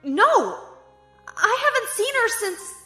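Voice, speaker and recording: A flustered young woman held captive in a sci-fi audio drama. Her very high, sharp voice swoops wildly and stammers in protest, echoing over faint music. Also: slow, exaggerated voice. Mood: alarmed, indignant. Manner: stammering, excitable. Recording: echoey room, music behind.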